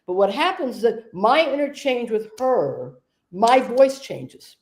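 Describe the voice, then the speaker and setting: A woman hosting a conversation, a medium telling stories in a relaxed, discursive way. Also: a little high, measured pace. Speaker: host and trance medium. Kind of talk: casual conversation.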